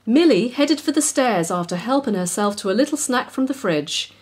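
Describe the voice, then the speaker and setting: Woman, storybook narrator, expressive scripted reading, fairly high pitch, very lively intonation. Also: moderate pace, slight background noise. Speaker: author and narrator.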